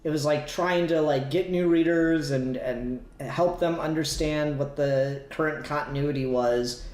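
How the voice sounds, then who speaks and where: A man's voice: on the high side, unhurried, a little mellow, echoey room. A man talking casually and informally in a podcast discussion, swearing freely. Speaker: podcast host.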